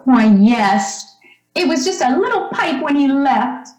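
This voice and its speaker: A woman's voice: high voice, lively intonation, unhurried, darkish tone. A woman playing a doting, emotional mother who gushes and fusses, in old-time radio drama style. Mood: bright, chatty. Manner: gushing, fussing. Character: doting, emotional mother overjoyed at her son's return. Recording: echoey room.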